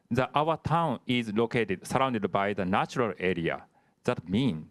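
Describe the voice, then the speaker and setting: A male professor with a somewhat low voice answers questions during an online university lecture. He speaks haltingly and keeps restarting his sentences with little filler words.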